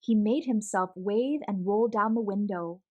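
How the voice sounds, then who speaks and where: A woman reading a children's picture book aloud in an expressive, animated storytelling manner. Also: mid-range voice, measured pace, phone-quality sound.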